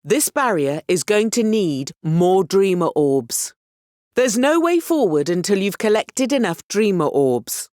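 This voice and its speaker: Encouraging video game mentor lady, wry n instructive, really lively up and down delivery, dry sound. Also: mid-range voice, unhurried, no echo. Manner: expressive, instructive, gently apologetic. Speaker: game guide character voice. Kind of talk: scripted video game character lines.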